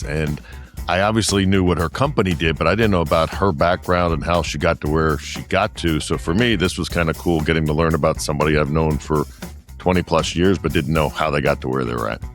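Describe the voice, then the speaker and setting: A middle-aged man co-hosting a podcast, asking brisk interview questions. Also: deep voice, soft background music. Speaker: podcast co-host. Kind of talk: interview, lightning-round questions.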